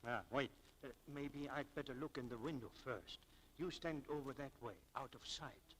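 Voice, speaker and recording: An actor playing a kindly, hesitant, stammering coworker and loyal friend in a 1940s radio drama, on a noisy old recording. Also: male voice, mid-range voice, normal speed, a bit bright, close to an everyday voice. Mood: gentle.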